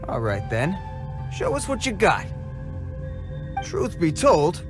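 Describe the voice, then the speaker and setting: An actor playing a gruff, cocky bandit leader in a video game cutscene, tough-talking and sneering with slangy speech. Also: male voice, a little high.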